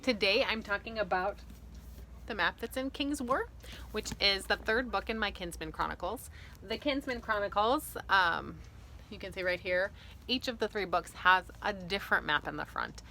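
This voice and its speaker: A woman's voice: medium pitch, moderate pace, sharp tone. A woman in her thirties or forties talking casually and expressively to viewers as an author hosting her own video. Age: 30s to 40s. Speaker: fantasy author and video host. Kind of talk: casual explanatory vlog to viewers. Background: faint ambient noise.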